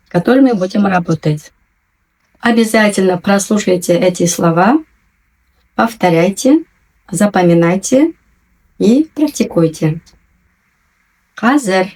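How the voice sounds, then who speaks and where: Slow, patient female language teacher. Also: medium pitch, a little mellow. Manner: patient, clearly enunciated, repeats each word twice. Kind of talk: slow, careful language lesson.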